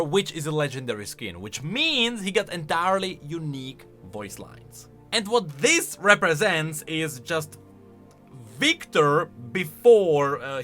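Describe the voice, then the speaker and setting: A man giving casual, conversational reaction commentary as a video host, with an animated, questioning manner. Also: fairly high pitch. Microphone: close studio-style microphone.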